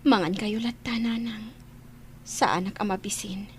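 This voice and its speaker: A woman playing a despondent, stubborn young daughter in a radio drama, with a breathy delivery. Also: medium pitch, fairly even intonation, a little slow, slight background noise, natural voice. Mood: sullen, weary. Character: despondent young woman stubbornly refusing her mother's care.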